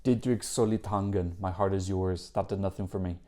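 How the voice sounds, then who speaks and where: A man's voice: low-pitched, steady tone, fairly quick, mellow. A man hosting a Eurovision reaction video, giving chatty, opinionated commentary and correcting himself as he goes. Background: faint music from the songs being reacted to.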